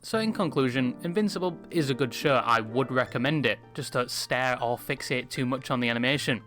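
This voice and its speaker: A man's voice: mid-range voice, a little fast, music in the background. A man narrating a scripted video review with wry, crude humour. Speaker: video essayist.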